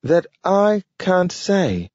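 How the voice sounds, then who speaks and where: Nathaniel, a high eager talking dog, voiced by a man. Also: sing-song, extremely slow, darkish tone, no room sound, full character voice. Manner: careful pronunciation like a learner, odd intonation. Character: friendly, eager young male talking dog. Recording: dull lo-fi recording.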